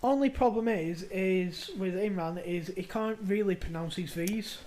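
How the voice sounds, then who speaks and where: This is a podcaster telling workplace stories with animated energy. The speaker swears freely and talks casually. The pitch sits in the middle range. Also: unhurried, slight background noise. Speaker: podcast co-host. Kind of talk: casual chat, anecdote telling.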